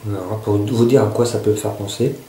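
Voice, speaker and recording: A man's voice: fairly low pitch, steady tone, brisk, dark tone, slight background noise. A man casually reviewing survival gear to camera. He often hesitates and searches for his words. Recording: echoey room.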